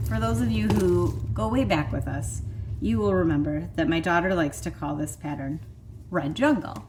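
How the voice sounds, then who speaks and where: A woman's voice: on the low side, noisy background. A woman in her thirties with a Michigan accent, hosting a vlog in chatty, rambling casual talk full of fillers. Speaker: vlog host. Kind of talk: casual chat.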